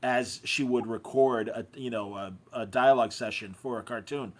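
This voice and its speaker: A man's voice: medium pitch, steady tone, moderate pace. A man hosting a podcast in casual conversation, telling anecdotes with enthusiasm. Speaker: podcast host. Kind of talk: casual chat.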